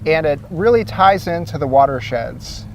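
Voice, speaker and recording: A man's voice: on the high side, animated, moderate pace, distant mic, a little hiss or hum, rough recording. Easygoing man explaining outdoors by a lake. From Ohio. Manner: easygoing, explains step by step with fillers. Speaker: source water protection coordinator, guest. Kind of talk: explanatory interview answers.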